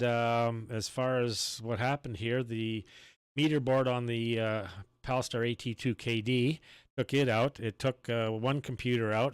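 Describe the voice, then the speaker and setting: Low, clear-voiced man, very dry sound. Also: no room sound. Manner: rambling, searches for words. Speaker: amateur radio operator. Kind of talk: casual on-air radio chat.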